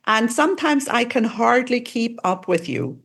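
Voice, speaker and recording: A woman's voice: fairly high pitch, very animated, unhurried, gravelly, fairly bright tone. Woman in her sixties, podcast host, enthusiastic, effusive. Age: 60s. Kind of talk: podcast interview.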